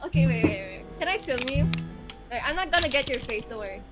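Eager high-voiced young woman on video chat. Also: expressive, quick pace, over loud music. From Philippines. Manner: eager, gushing, talks over friends. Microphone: phone on a video call.

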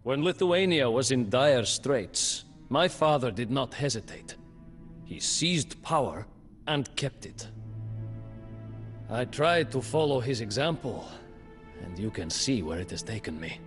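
An actor narrating a game campaign as a proud, ambitious young male prince, in slow, measured storybook narration. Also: medium pitch, expressive, natural voice. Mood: resolute. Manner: slow, measured, brooding delivery. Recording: faint music behind.

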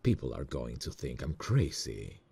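Romantic ASMR lead: a man playing a nervous, lovestruck male boss; gravelly, expressive swings in pitch, intimate and self-conscious. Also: a little slow, full character voice. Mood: nervous, musing. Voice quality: very deep, gravelly, husky. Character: smitten, nervous male boss on a work trip. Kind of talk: romantic ASMR roleplay acting.

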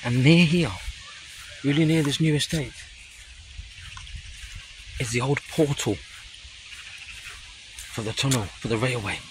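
A man, chatting casually and excitedly to camera as an exploring vlogger. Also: medium pitch, unhurried, pauses often. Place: outdoors on streets and woods, later inside a tunnel. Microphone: handheld camera or phone at arm's length. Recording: noisy handheld recording on location.

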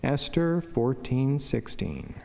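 A man, a priest, reading a scripted devotional in a measured, formal and reverent way. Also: mid-range voice, sing-song, gravelly, a little mellow. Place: wood-panelled church sanctuary, indoors. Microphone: lectern microphone. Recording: long church reverb.

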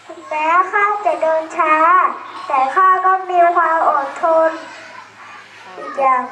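Young girl as a high-voiced, patient tortoise. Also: measured pace, bright, forceful tone, heavily acted. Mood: defiant. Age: child. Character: slow, patient, self-assured tortoise in a fable. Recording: echoey room, background noise.

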